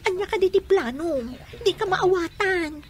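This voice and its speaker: A woman acting in a radio drama as a sharp-tongued, skeptical young best friend who speaks in incredulous exclamations. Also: extremely high pitch, moderate pace. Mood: doubtful.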